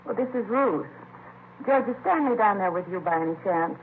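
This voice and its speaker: A woman's voice: medium pitch, animated, normal speed, lightly acted. A woman acting an anxious, polite mother and housewife in a vintage radio drama. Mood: anxious, apologetic. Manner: worried, earnest, polite. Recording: tinny vintage radio recording, faint noise.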